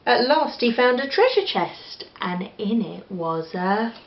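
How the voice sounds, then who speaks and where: A woman's voice: mid-range voice, expressive, takes it slowly. Englishwoman reading in an echoey room. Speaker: storybook reader. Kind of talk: expressive children's story reading. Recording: echoey room, slightly dull sound.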